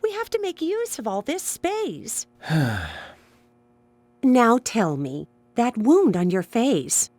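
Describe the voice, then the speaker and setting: A kindly old American innkeeper from a video game. She is genteel, chatty and fluttery, and her pitch swoops wildly up and down as she fusses. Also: big pitch swings, slow pace, few pauses, a little mellow, no room sound, exaggerated voice. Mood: flustered, coy. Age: older. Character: kindly, fussy elderly widowed female innkeeper. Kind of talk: scripted video game voice acting.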